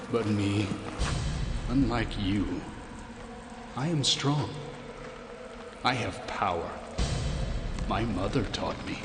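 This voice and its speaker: A man playing a deranged, power-obsessed video game villain who thinks himself divine, ranting grandly with drawn-out menace. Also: lowish voice, background noise. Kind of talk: dramatic video game cutscene acting. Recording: echoey hall reverb under music.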